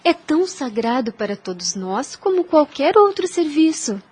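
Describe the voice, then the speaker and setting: An actress playing a humble, serene young governess in a Brazilian audio drama, speaking gently and formally. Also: female voice, high voice, sing-song, moderate pace. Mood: gently instructive.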